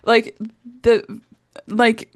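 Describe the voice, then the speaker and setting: A woman co-hosting a casual podcast chat, blunt and animated, laughing often. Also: on the high side, drawn-out words, no echo, clean studio sound. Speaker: podcast co-host.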